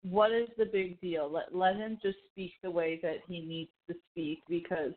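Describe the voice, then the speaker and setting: A woman's voice: fairly low pitch, fairly even intonation, moderate pace. A woman co-hosting a casual chat show, giving her opinions in an informal, conversational way. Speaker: podcast co-host. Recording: thin, phone-like band-limited audio.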